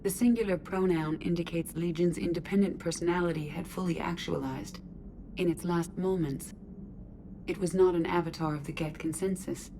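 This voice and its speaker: A woman's voice: on the low side, steady tone, vocal fry, dark tone, a little hiss or hum. An actress playing a composed, logical female artificial intelligence in a video game, speaking precisely and formally. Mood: calm, reflective. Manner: precise, formal, measured wording. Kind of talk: scripted video game acting.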